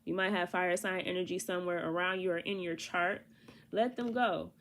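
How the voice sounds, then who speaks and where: A woman's voice: lowish voice, fairly even intonation, normal speed, fairly bright tone, a little hiss or hum. A woman giving a casual tarot reading. She sounds congested from allergies and talks to the viewer in a chatty, slangy way. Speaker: tarot reader.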